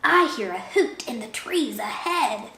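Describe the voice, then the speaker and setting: Lady doing a big scary but easily amazed monster guy, storybook style, slow drawl, echoey room. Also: on the high side, expressive, bright, forceful tone, a little hiss or hum, full character voice. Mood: wary.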